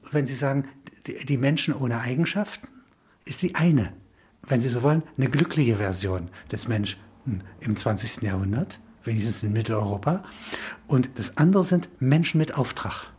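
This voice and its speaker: A man with a husky voice speaks as an interview guest in a spontaneous intellectual discussion, in halting, emphatic phrases with little tag questions. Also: medium pitch, expressive, darkish tone. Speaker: interview guest explaining a film concept.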